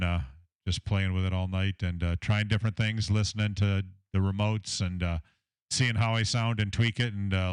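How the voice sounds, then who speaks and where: A deep-voiced man with a Southern American accent, chatting casually about his radio audio setup from his home shack, with a lot of fillers and technical talk. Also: clear, resonant tone, no room sound. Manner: rambling, filler-heavy, technical. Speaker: ham radio operator, station owner.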